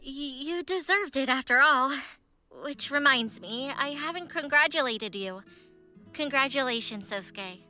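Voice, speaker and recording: Very bright, high-pitched anime heroine. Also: female voice, lively intonation, measured pace, soft background music, heavily acted. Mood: shy, sincere. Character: sweet, eager, easily flustered young female game developer heroine. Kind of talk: anime-style otome game voice acting.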